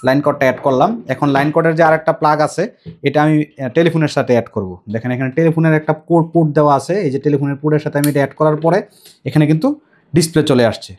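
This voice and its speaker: Fast-talking Bangladeshi man demonstrating products. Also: a little high, a little mellow. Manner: explanatory, repetitive. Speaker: shop presenter demonstrating intercom equipment.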